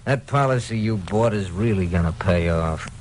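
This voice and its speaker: An actor playing a slick, menacing crook in an old-time radio crime drama, coercive and sneering. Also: male voice, sits low, moderate pace, natural voice. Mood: sneering threat. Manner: smoothly coercive, sneering at his victim. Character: menacing, slick insurance-fraud crook, male. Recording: noisy old radio recording.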